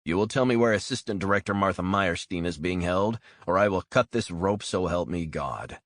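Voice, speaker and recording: A man voicing a hard male interrogator who talks slowly and threateningly. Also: low voice, fairly bright tone, no room sound, clean studio sound. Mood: menacing. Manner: cold, slow and threatening.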